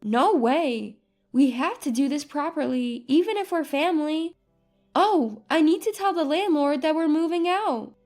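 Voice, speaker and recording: A woman's voice: high voice, expressive, unhurried, darkish tone, soft background music. A woman voicing an earnest, caring grown daughter in a narrated family drama.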